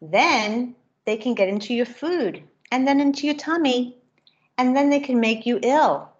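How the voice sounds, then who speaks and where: A woman's voice: fairly high pitch, unhurried, pitched above the performer's own voice, lightly acted. Storybook maid played by a woman, firm, emphatic, instructive, lo-fi recording. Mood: firm, warning. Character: palace maid, firm, explaining adult woman. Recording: dull lo-fi webcam sound.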